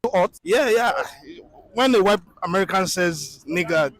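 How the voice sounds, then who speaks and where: Higher-voiced African man, hesitant interviewee. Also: unhurried, crowd noise.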